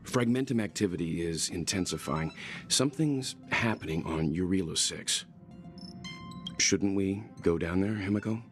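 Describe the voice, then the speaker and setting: A man's voice: deep voice, moderate pace, faint music behind, natural voice. A man voicing a serious, cautious mature character in a video game. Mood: grave, concerned. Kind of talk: English video game voice acting.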